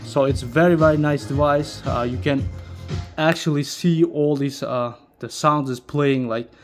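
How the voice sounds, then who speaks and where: A man who speaks English as a second language gives a casual, unscripted product demo as a video host, talking haltingly and checking in with short tag questions. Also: a little high, steady tone. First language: not English. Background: music playing, amplifier fan.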